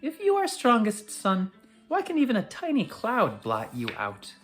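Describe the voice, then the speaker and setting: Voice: medium pitch, wildly swooping pitch, moderate pace, faint music behind, voice pushed up. A storyteller voicing an eager, curious young child who insistently questions everything in a bedtime folk tale. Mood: eager, questioning.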